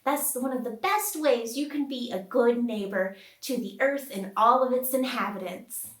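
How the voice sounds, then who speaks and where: A woman hosting a puppet storytime, talking to young children in an exaggerated, sing-song way with questions and dramatic pauses. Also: on the high side, echoey room, slight background noise.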